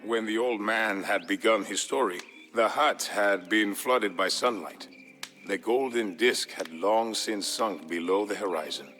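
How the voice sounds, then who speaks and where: A man narrates a dramatic historical story in a fairly low voice. His delivery is measured and solemn, and music plays softly behind him. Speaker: narrator of a game campaign storyline.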